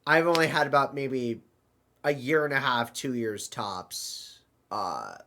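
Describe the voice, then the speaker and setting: A man acts out a flustered adventurer in an audio drama. His voice is bright and forceful, his pace unhurried, and he stumbles politely over his words as an excitable, apologetic traveler. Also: medium pitch, a little hiss or hum, full character voice. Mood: awed, apologetic. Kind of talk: hobby audio drama dialogue.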